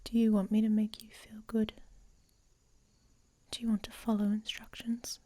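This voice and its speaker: A woman playing a dominant, teasing female werewolf in an intimate ASMR audio roleplay, with a breathy, whispery voice and a commanding manner. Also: mid-range voice, monotone, moderate pace, dark tone, natural voice. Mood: seductive, commanding.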